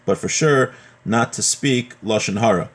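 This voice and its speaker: A man's voice: mid-range voice, measured pace. A man giving an informal religious lesson as a teacher, explaining in a conversational way. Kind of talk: informal religious lesson, reading Hebrew text then translating and explaining.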